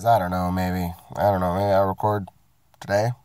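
A man's voice: deep voice, flat delivery, normal speed, darkish tone, clean tone, dry recording, clean studio sound. A man, getting sick, ranting casually and profanely about his work week from inside his parked car. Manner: profane, rambling, self-mocking. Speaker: vlogger. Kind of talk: casual rant to camera.

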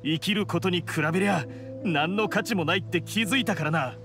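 An actor playing an embittered male oni warrior outcast in a video game, speaking with scorn and deliberate weight. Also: high voice, music in the background. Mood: grim, resolved. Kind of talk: dramatic game voice acting.

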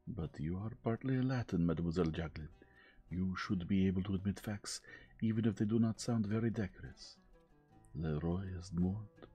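A man's voice: sits low, normal speed, dark tone, husky, soft background music. A man voicing a vain, precise middle-aged detective with a French accent who slips in French phrases. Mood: wry.

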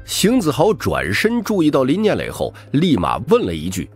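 Very animated male audiobook narrator. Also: medium pitch, moderate pace, slightly rough, faint music behind. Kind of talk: dramatic scripted fiction narration.

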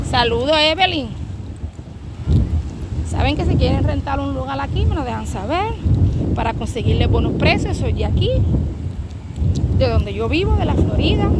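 A woman with a high voice and a Puerto Rican accent talks casually, like a live travel vlog. She repeats phrases admiringly. It is a phone recording out in the open, with very loud wind noise over her. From Puerto Rico. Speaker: travel vlogger and local travel agent. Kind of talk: casual live walking chat. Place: open beach by the sea, outdoors. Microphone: handheld phone.

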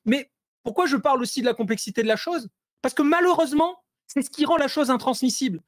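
A high-voiced man talks as an interview guest over a video call, his sound dry and close with no room around it. He stumbles through fillers and repeated words, then pushes hard and animated when he lands a point. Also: fast, dry recording. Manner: hesitant, many fillers and restarts; animated, rising intensity when making points. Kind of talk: conversational interview analysis.

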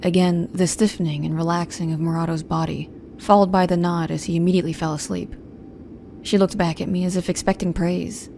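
A woman's voice: fairly low pitch, flat delivery, a little fast, gravelly, dark tone, a little hiss or hum. A woman playing a guarded, protective young woman, narrating an audio drama in the first person in a restrained, brooding, stern manner. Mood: irked, wary.

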